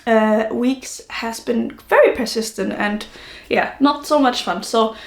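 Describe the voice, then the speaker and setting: A woman's voice: fairly high pitch, unhurried, reverberant. A woman hosting a podcast in casual, rambling solo chat, correcting herself as she goes, her voice not fully recovered from a lingering cold. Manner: rambling, self-correcting, informal. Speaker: knitting podcast host.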